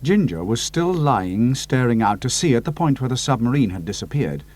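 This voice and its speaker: Male narrator, middle-aged, dramatic audiobook reading, lively pitch, music bed underneath. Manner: slows down for suspense, drops to hushed tones for atmosphere.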